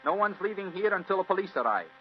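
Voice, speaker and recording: An earnest, fiery young man in an old radio mystery. The words come fast in a fairly high voice, on a dull recording with hum. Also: very animated. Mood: defiant, accusing. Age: young adult. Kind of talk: 1940s radio drama acting.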